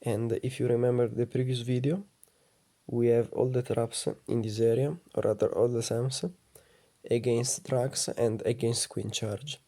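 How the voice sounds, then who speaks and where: A man with a low, soft voice giving calm, methodical gameplay commentary as a voice-over. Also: a little slow. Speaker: gaming channel host.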